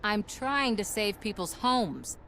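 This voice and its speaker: An actress playing a determined activist who speaks earnestly and persuasively. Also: female voice, mid-range voice, sing-song, takes it slowly, fairly bright tone, slight background noise, heavily acted. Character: determined woman activist helping residents.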